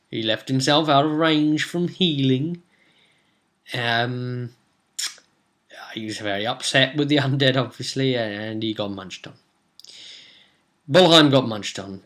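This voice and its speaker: A man in his twenties with a bright, forceful voice talks casually to camera as a game master. He rambles excitably through a story and slips into players' lines. He sounds like he is at a desk at home. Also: medium pitch. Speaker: game master recapping a tabletop role-play session. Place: small room at home.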